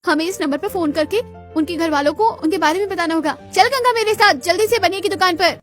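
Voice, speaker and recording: Woman doing a worried young village woman, pretty high voice, earnest story drama, light music. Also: fast talker. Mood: urgent. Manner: earnest, urgent, expressive. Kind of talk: dramatized moral story acting.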